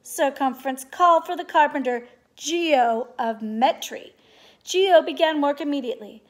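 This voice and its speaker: A woman's voice: high voice, moderate pace. A middle-aged woman reading a storybook aloud for children in a living room. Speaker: storybook reader. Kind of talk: children's read-aloud narration. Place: living room at home, indoors.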